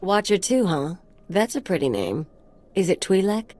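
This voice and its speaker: An actress playing a cocky, mocking mercenary sidekick in a video game, with a sardonic, teasing manner. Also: female voice, medium pitch, sing-song, normal speed, darkish tone. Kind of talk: video game cutscene acting.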